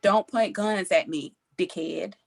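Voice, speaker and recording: A woman playing a brash, foul-mouthed, scheming tough criminal at a script table read, blunt and sassy, with a gravelly voice. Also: lowish voice, a little slow. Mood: cocky, scheming.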